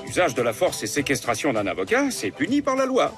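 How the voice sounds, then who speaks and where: A formal, easily flustered lawyer in a cartoon. His intonation swoops wildly as he politely protests, with music clearly behind him. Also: a little high, wildly swooping pitch, a little fast. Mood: stern. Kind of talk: French animated series dubbing.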